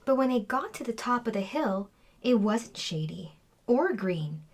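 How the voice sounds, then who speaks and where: A woman's voice: on the high side, big pitch swings, measured pace, breathy edge. A woman reading a children's storybook aloud as scripted narration. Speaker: children's book reader.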